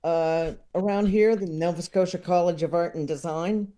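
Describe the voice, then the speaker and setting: A man chatting casually as a podcast guest, talking in a halting, rambling way. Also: sits high, fairly even intonation, warm tone. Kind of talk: casual interview chat.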